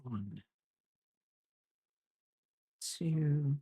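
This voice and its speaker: A woman's voice: low-pitched, lively intonation, drawn-out words, warm tone, breathy edge, no room sound, studio quality. A middle-aged woman chatting casually as a crochet host, in a rambling way, trailing off and repeating herself. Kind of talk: casual chat while crocheting, counting stitches aloud.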